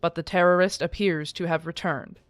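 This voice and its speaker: A woman's voice: on the low side, fairly even intonation, moderate pace, no echo, soft background music, natural voice. A woman playing a stern, self-righteous military commander, making a formal, pompous public proclamation in an audio drama. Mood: accusing, official.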